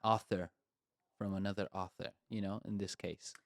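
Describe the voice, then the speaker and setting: A man's voice: fairly low pitch, flat delivery, moderate pace, gravelly, dry recording, clean studio sound. A man from Argentina co-hosting a casual podcast, thinking aloud in a rambling way and filling his speech with "like" and "you know". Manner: thoughtful and rambling, heavy use of "like" and "you know". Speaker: podcast co-host and composer. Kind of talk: casual conversation.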